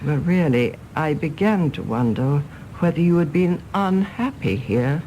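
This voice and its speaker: A woman's voice: deep voice, animated, unhurried, warm tone, slight background noise, poor audio. An actress playing an outspoken elderly English mother, emphatic and genteel, affectionate but exasperated, in British radio drama style. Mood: exasperated concern. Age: older.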